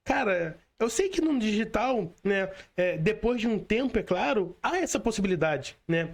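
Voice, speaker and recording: A man's voice: sits high, big pitch swings, moderate pace, vocal fry. A man with a Brazilian Portuguese accent, a podcast host talking in a chatty, casual way and filling his talk with tag questions. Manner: chatty, filler-heavy, says "cara" and "né" often.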